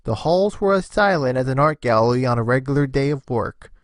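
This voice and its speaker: A man's voice: mid-range voice, lively intonation, a little fast, no echo, clean studio sound. A man narrating a scripted story expressively, as the narrator of an audio fan fiction.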